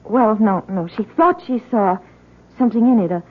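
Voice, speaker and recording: An actress playing a nervous, earnest college woman in an old-time radio drama, halting and insistent as she speaks. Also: fairly high pitch, animated. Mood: nervous recounting. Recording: muffled, narrowband old radio broadcast.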